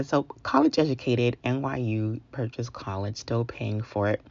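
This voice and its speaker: A woman from New York with an American accent, telling a personal story casually in a playful, joking manner with a husky, gravelly voice. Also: very deep voice, darkish tone. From New York, USA. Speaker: storyteller, comedian and educator. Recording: dull, lo-fi voice recording.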